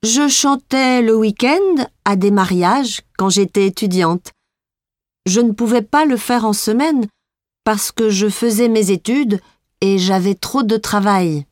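An actress voicing a young female Belgian pop singer being interviewed, speaking slowly and clearly in a scripted exam dialogue. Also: mid-range voice, dry recording, clean studio sound, close to an everyday voice. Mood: friendly. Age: young adult. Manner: slow, clear, carefully articulated.

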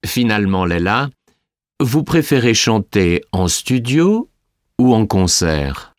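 Male interviewer, voiced by an actor, lowish voice, very slow deliberate questions, dry studio sound. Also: sing-song, no room sound. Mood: polite. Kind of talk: scripted exam dialogue.

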